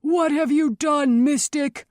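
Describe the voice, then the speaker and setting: A woman's voice: high-pitched, fairly even intonation, takes it slowly, darkish tone, dry recording, clean studio sound, voice pushed up. A woman voicing a big, enraged mob leader in an audiobook, snarling and accusing. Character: tall burly man, red-faced, enraged mob leader. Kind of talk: audiobook character voice.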